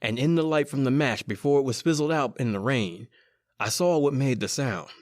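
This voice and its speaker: A man's voice: medium pitch, normal speed, a little mellow, no echo, clean studio sound, natural voice. A man playing a frightened American criminal, urgent and dramatic, in an old-time radio horror play. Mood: tense, haunted. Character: frightened male criminal narrating his doom.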